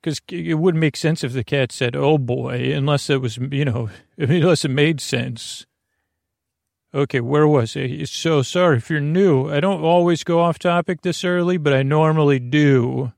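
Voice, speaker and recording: A man with a slightly high, creaky voice hosts a sleepy podcast in a close, dry recording. He meanders through his monologue, hesitating, doubling back on words and drifting off on tangents. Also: a little mellow, no echo. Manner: meandering, hesitant, halting and repeating. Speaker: podcast host. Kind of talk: rambling bedtime monologue.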